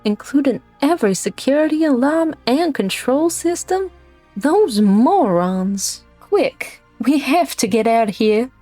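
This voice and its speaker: A woman's voice: high-pitched, very animated, unhurried, dark tone, exaggerated voice. A woman playing a tough, sardonic female director in a fan-dubbed game story, dry and commanding. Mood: urgent. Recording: faint background music.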